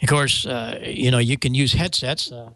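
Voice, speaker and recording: An older American man talking casually as a show host about gear, rambling and halting with stammered restarts. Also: mid-range voice, steady tone, gravelly, bright, forceful tone, no echo. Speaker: show host and microphone maker. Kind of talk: casual show talk, explaining audio gear.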